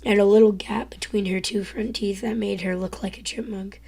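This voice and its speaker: A teenage girl with a hoarse, worn-out voice reading a novel aloud live, whispering and stumbling over words as she goes. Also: mid-range voice, fairly even intonation, moderate pace, dark tone. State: hoarse, lost voice.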